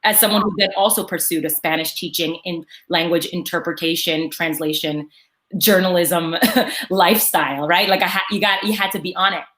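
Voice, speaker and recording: A woman's voice: on the low side, fairly even intonation, normal speed, a bit bright. A woman hosting an interview, affirming and enthusiastic as she reflects her guest's story back. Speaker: host. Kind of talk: casual interview.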